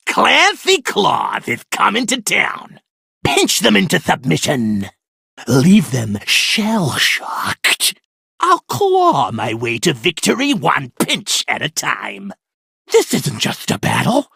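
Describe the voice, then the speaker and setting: A man voicing a zany, bombastic lobster warrior in a video game, theatrical and raspy. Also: a little high, huge pitch swings, takes it slowly, sharp tone, no echo, studio quality, exaggerated voice. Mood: cocky, theatrical. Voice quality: raspy, gravelly. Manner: theatrical, bellowed battle cries. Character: zany male lobster warrior, bombastic self-styled crustacean crusader. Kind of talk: video game character voice lines.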